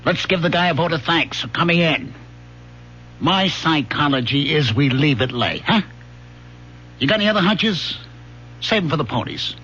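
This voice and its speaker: A middle-aged, no-nonsense police lieutenant in an old radio drama. He is blunt and world-weary, with a forceful, bright voice on a muffled recording. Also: a little high, lively intonation, normal speed, long pauses, slightly rough, full character voice. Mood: irritated. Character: gruff, no-nonsense police lieutenant, plain flatfoot. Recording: dull lo-fi radio recording, faint hum.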